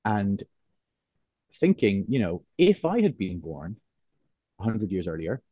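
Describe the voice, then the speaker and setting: A low-pitched man speaks in a soft Cork accent that has been neutralised toward English. He is thoughtful and hesitant, rephrasing as he goes in a reflective interview. The recording is dry, with very little room around the voice. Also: sing-song, takes it slowly, slightly rough, dry recording, muffled recording. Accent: soft, neutralised Cork Irish, closer to English. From Cork, Ireland. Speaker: interviewed writer.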